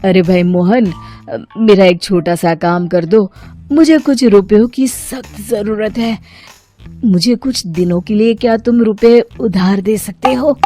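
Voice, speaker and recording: A woman's voice: mid-range voice, unhurried, warm tone, faint music behind, close to an everyday voice. Woman playing a harried, placating husband. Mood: pleading, reassuring. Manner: conciliatory, reassuring. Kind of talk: animated moral story dialogue.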